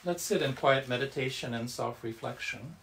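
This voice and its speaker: A man with a medium-pitched voice leads a Buddhist service. His delivery is reverent and measured, suited to recitation and guided meditation. He phrases things deliberately, with a solemn tone. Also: fairly bright tone, a little hiss or hum. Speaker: Buddhist temple service leader.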